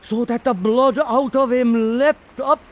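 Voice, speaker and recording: A man playing an old Irish island storyteller, telling an eerie fireside tale in a deliberate, theatrical way for radio drama. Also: extremely high pitch, steady tone. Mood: eerie, measured.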